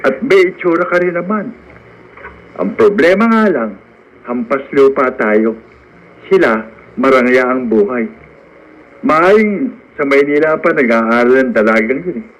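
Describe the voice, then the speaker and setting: An actor playing a plainspoken, skeptical farmhand and friend in a Filipino radio drama. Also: male voice, mid-range voice, lively intonation, unhurried, pauses often, close to an everyday voice, rough recording. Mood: teasing, realistic. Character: down-to-earth male farmhand, frank, skeptical friend.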